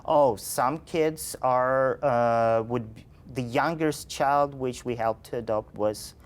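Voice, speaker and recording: Slow-spoken man. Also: mid-range voice. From Ukraine. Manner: slow, searching for words, many pauses. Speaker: interview guest.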